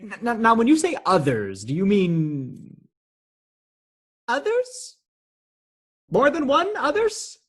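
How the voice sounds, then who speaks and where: Frantic nephew, male actor, radio stage comedy; rough-edged voice, flustered, exclamatory, highly animated. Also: takes it slowly, long pauses, exaggerated voice. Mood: frantic alarm. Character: frantic, appalled nephew of two elderly aunts.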